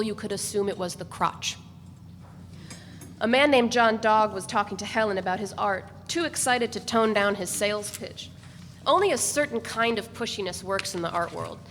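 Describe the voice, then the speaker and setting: Woman narrator, measured and even reading, recorded live in an echoey room with faint hiss. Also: mid-range voice. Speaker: reader. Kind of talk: first-person literary narration read aloud.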